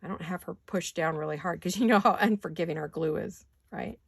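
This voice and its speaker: A woman with a raspy voice from allergies, chatting casually to viewers as she crafts in her home studio, often talking to herself and slipping into whispers. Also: fairly low pitch, a little fast. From California. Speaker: craft vlogger. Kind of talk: casual craft chat while working.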